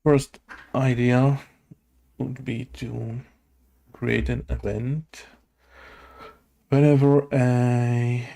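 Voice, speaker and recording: A man's voice: medium pitch, flat delivery, very slow, gravelly, long pauses, mellow. A man thinking aloud as he writes game code, hesitant and muttering, full of fillers and questions to himself. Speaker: game developer coding on stream. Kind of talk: thinking aloud while coding. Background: keyboard typing and clicking.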